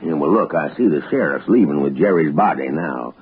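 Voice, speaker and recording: A man's voice: a bass voice, lively intonation, fairly quick, slight background noise. An actor playing a folksy, deferential mine-country man with a rustic Western American accent in a 1960s radio drama. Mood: earnest, friendly. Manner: rustic, drawling. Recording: muffled narrow-band old radio recording.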